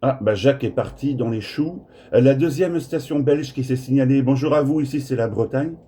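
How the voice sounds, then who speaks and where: A man's voice: mid-range voice, normal speed, warm tone. A man chatting casually as an amateur radio operator, hesitating and repeating words. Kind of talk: casual radio contact chat. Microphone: smartphone recording in the room.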